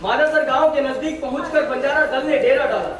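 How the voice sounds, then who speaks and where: An actor playing an earnest storyteller, giving scripted Hindi narration for a folk stage play. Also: male voice, extremely high pitch, a little fast, a bit bright. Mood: earnest, narrating. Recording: distant echoey auditorium with audience noise.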